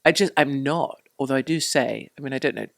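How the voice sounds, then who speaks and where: A woman with a low voice, a podcast guest chatting in a bawdy, animated way and laughing often. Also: brisk, gravelly. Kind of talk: casual chat.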